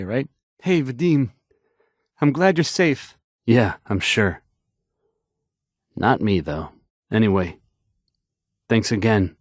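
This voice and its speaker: A man's voice: mid-range voice, dry recording, studio quality. An actor playing a nervous, awkward young male radio DJ in a video game, stammering and hesitant as he talks. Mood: nervous, panicky, then earnest, grateful. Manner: stammering, hesitant, unsure of himself. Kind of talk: video game character dialogue.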